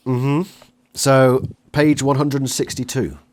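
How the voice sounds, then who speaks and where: A man speaks at a middle pitch as an interviewer. He chats casually and puts his questions together as he talks, in tentative pieces. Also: level tone, slow pace, slight background noise.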